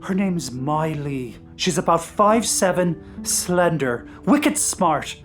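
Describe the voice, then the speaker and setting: Earnest adventurer with animated pitch. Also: male voice, takes it slowly, soft background music. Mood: earnest, longing. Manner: earnest, eager. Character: earnest, sentimental male adventurer searching for a lost woman. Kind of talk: full-cast audio drama acting.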